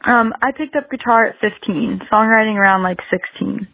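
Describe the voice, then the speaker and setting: A woman's voice: a little high, normal speed, vocal fry, no echo, phone-quality sound. A woman in her early twenties from South Carolina with an American accent, talking casually as an interview guest, hedging and saying "like" very often. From South Carolina, United States. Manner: hedging, very frequent "like" filler. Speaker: podcast guest, musician.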